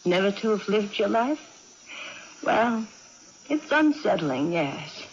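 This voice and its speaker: An aging aunt in an old radio drama, practical and musing. She speaks slowly and deliberately, through a dull broadcast recording with some hiss and noise. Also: mid-range voice, big pitch swings. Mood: contented, affectionate. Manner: deliberate, musing, matter-of-fact with pauses. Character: contented, practical, aging aunt with a lost memory. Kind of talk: 1980s radio drama acting.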